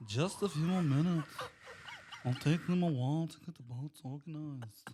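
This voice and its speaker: A man's voice: fairly high pitch, moderate pace, mellow, a little hiss or hum, close to an everyday voice. An earnest young romantic hero at death's door, as a man puts him on for a table read. The voice wanders between improvised silly accents and comic characters, never quite settling. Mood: reassuring.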